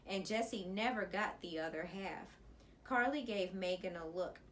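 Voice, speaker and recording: A woman's voice: medium pitch, a little slow, fairly bright tone. Woman reading a story, noticeable background noise. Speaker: elementary school teacher reading aloud. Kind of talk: expressive children's audiobook storytelling.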